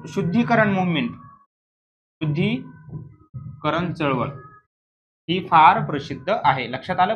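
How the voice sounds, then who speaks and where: A man's voice: sits high, fairly bright tone. A man teaching an exam revision class in a didactic way, asking questions, answering them himself and repeating key words.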